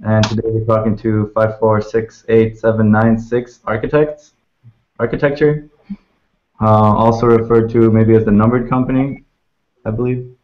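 A man from Canada hosting a casual video-call interview, relaxed and hesitant with fillers. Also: lowish voice, normal speed. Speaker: interviewer, host. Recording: muffled video-call audio.

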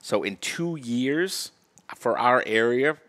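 A man's voice: mid-range voice, expressive, slow, a bit bright. A man appearing as a real estate guest, giving confident, explanatory interview answers. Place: TV-style studio set.

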